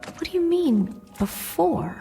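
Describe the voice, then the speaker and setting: A woman's voice: very high-pitched, big pitch swings, slow, gravelly, dark tone, faint music behind, heavily acted. An actress playing an anxious, bewildered young woman in a radio drama, hesitant and stammering yet insistent. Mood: shaken, uneasy.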